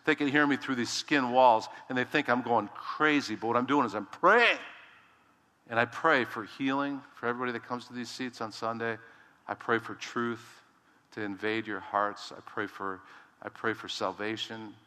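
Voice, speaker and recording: A man pastor preaching a sermon in a loud, emphatic voice, swinging between hushed low lines and sudden shouted rises. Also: moderate pace, big-room sound. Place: church sanctuary.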